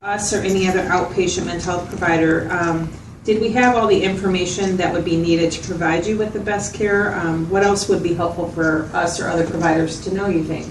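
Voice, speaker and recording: Woman acting as a patient clinician lady in a training drama, measured explainer, echoey room w/ background noise. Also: on the low side, steady tone, close to an everyday voice. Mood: attentive, checking. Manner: measured, explanatory, checks for agreement. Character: patient, attentive female mental health clinician. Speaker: mental health clinician facilitator. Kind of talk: acted clinical interview, explanatory. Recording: roomy, distant mic, noticeable background noise.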